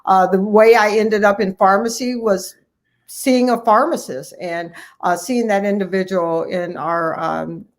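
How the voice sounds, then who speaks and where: A woman's voice: mid-range voice, steady tone, measured pace. Middle-aged American woman telling her story. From Cleveland, Ohio, United States. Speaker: interview guest, family physician. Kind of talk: personal storytelling in a conversational interview.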